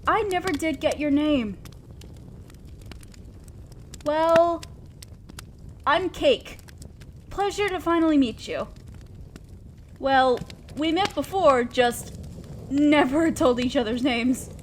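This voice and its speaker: A woman's voice: sits high, slow, pauses often, slight background noise. A woman playing a shy, awkward, kind young neighbor in an intimate roleplay audio drama, speaking hesitantly and apt to ramble self-consciously. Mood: friendly, curious. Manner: hesitant, soft-spoken, rambling, self-deprecating. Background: outdoor fire ambience.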